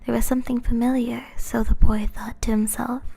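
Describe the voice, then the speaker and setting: A woman streamer reading a children's story aloud in a soft, gentle whisper. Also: on the high side, little pitch movement, fairly quick, gravelly, breathy edge.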